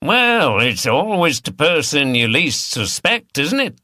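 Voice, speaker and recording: A man's voice: on the high side, big pitch swings, takes it slowly, pushed and bright, no room sound, clean studio sound, voice pushed up, full character voice. A man voicing a blunt, grumbling working man, bantering as a pub regular in an audiobook.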